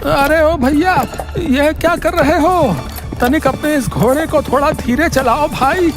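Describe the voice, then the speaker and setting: Casting: stingy, fussy rich man of the village for an animated moral tale acted, high voice, dragging haggler's complaints, light music underneath. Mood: alarmed. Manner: haggling, drawn-out protesting. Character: stingy, fussy village merchant man, grumbling over every price. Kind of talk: animated moral-story cartoon acting.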